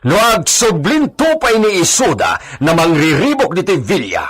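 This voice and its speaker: An actor playing a stern, suspicious, imperious landowner in a radio drama, with a husky voice. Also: male voice, high-pitched, huge pitch swings, normal speed, a bit bright, exaggerated voice. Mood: scornful, angry. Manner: imperious, ordering servants about. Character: haughty, stern, suspicious wealthy hacienda master, adult man. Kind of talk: Ilocano radio drama acting.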